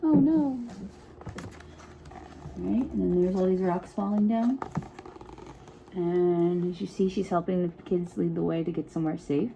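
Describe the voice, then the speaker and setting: A woman reading a picture book aloud to children in an expressive, encouraging way, with chatty asides and frequent check-in questions. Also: fairly low pitch, measured pace, gravelly, long pauses, slight background noise, poor audio. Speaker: children's storytime reader.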